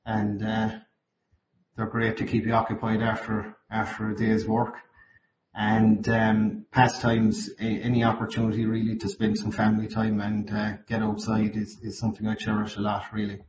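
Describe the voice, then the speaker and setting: An Irish man with a slightly croaky voice, presenting at a webinar over a video call. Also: lowish voice, monotone, moderate pace. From Ireland. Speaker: account manager presenting at a webinar. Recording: roomy, noisy webinar call audio.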